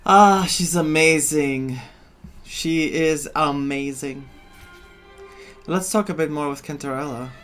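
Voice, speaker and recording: A man's voice: on the high side, takes it slowly, pauses often, a bit bright. A man giving playthrough commentary on a video game as a streamer. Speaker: gaming streamer. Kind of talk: playthrough commentary and reading game dialogue aloud. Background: game music faintly behind.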